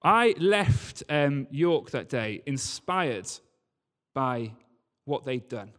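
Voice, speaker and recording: A man's voice: mid-range voice, big pitch swings, very slow, a bit bright. A man giving a sermon in a conversational, storytelling way, with emphatic repetition, recorded live in a large church hall. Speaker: preacher. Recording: live talk in a reverberant hall.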